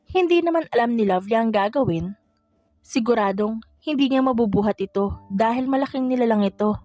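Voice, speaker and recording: Woman narrator, high voice, scripted romance storytelling, dry close sound, faint music behind. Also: a little fast, mellow, dry recording. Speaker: audiobook narrator.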